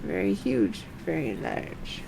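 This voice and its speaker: A woman's voice: on the low side, wildly swooping pitch, slow pace, vocal fry, background noise, pitched below the performer's own voice, full character voice. A woman voicing an eager young kobold creature from a game, simple and curious, with repetitive, childlike phrasing.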